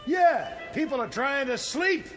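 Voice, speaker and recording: An actor playing a tough, streetwise death row convict, blunt and colloquial, in mid-century television drama style. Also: male voice, very high-pitched, lively intonation, unhurried, a bit bright, exaggerated voice. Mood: irritated, blunt. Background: dramatic music.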